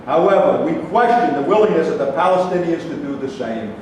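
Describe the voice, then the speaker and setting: A man in a staged play reading, playing a firm, distrustful Israeli who defends his country in deliberate, emphatic debate. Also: a little high, expressive, a little mellow, close to an everyday voice. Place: reverberant room at a staged reading. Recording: very echoey hall, distant mic, faint noise.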